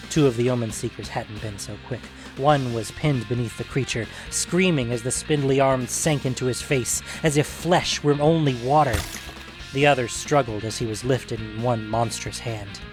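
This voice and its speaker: A man narrating a scripted fantasy story in a measured, suspenseful, dramatic audiobook manner. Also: medium pitch, over music, low-quality recording.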